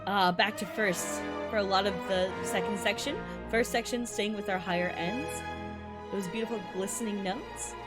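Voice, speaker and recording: A young adult woman whose first languages are Malayalam and Tamil, a violinist giving a bubbly, excitable casual breakdown of music and laughing often. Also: medium pitch, normal speed. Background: faint music playing.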